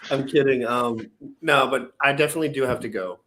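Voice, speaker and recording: A man's voice: mid-range voice, fairly quick, bright, forceful tone. A man hosting a casual live show, loose and joking, talking with guests and swearing casually. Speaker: livestream show host. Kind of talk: casual chat.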